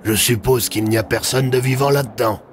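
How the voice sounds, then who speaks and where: Un homme qui interprète un commissaire de police pragmatique et prudent, au ton sec et directif, dans une fiction audio. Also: male voice, medium pitch, a little fast, slight background noise, voice pushed up, natural voice. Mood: cautious, commanding. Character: pragmatic, cautious male police commissioner leading a group. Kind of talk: audio fiction character acting.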